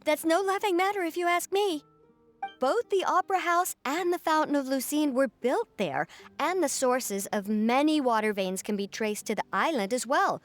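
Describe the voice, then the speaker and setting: A woman's voice: high voice, animated, moderate pace, faint music behind. A woman playing a dramatic, superstitious fishing official who talks like an earnest, theatrical storyteller in a video game. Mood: earnest, insistent.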